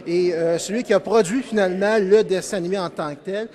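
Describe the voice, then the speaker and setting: A man's voice: high-pitched, a little fast, darkish tone. A man with a Quebec French accent speaks informally in an interview as a project spokesman, often searching for words.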